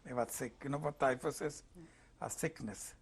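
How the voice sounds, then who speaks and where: A man in his eighties from Hungary with a Hungarian accent, slowly recounting his life in an oral history interview, halting and searching for words. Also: mid-range voice, breathy edge. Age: 80s.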